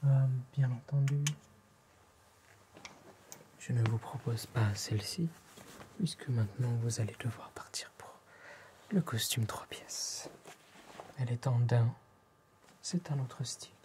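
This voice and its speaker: Man acting as a hushed, slow, courteous French tailor. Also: mid-range voice, slight background noise, close to an everyday voice. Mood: calm, attentive. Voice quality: soft, hushed, often whispered. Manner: polite, formal, unhurried salesman-like. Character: courteous, attentive male tailor serving a client. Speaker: ASMR roleplay performer. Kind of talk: whispered ASMR roleplay.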